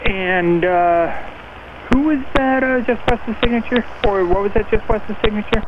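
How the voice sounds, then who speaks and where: A man's voice: high voice, moderate pace. A man working as an air traffic tower controller, talking over the radio. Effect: radio transmission. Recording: muffled radio audio, loud aircraft noise. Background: aircraft engine and airfield noise.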